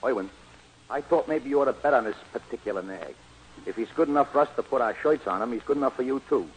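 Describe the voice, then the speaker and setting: A man's voice: mid-range voice, fast, lightly acted. A man playing a pushy, streetwise racetrack gambler who bosses his gang around in a 1940s radio comedy. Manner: brash, bossy, streetwise. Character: pushy, fast-scheming male racetrack gambler, gang leader. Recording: noisy old radio broadcast recording.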